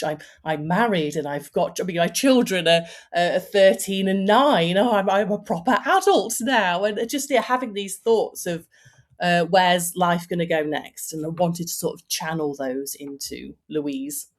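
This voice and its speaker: A woman's voice: on the low side, measured pace. A woman in her forties, an author, talking about her book in a casual interview, chatty with lots of fillers and restarts. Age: 40s.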